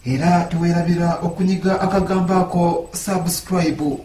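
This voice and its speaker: A man hosting a countdown list, narrating it in a lively, mocking way. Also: high voice, moderate pace, echoey room, a little hiss or hum. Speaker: host.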